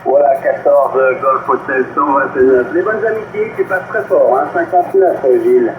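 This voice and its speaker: A man's voice: medium pitch, moderate pace. An older man with an accent from France, chatting amiably as a CB caller, his voice coming through a radio transmission. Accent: French from France. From Brittany, northwest France. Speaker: CB radio operator calling in. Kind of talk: casual radio chat. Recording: muffled noisy CB radio reception, roomy. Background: radio static noise.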